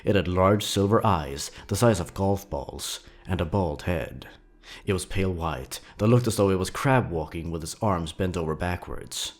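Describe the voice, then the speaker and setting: A man's voice: deep voice, normal speed. A man narrating scripted horror stories with a dramatic, suspenseful delivery. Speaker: narrator, host of a horror story countdown.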